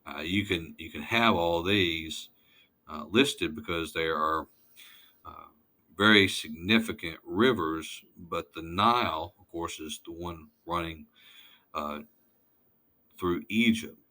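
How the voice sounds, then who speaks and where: A man lecturing informally over slides, halting and deliberate, putting weight on key words. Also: low voice, bright, forceful tone.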